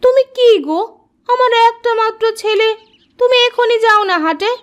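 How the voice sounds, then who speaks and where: A woman acting a high-pitched, doting village mother. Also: normal speed. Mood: demanding, indignant. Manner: sharp retorts, indulgent of her son. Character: doting village mother and wife, defensive, quick to bicker. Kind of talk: Bengali cartoon moral-story voice acting.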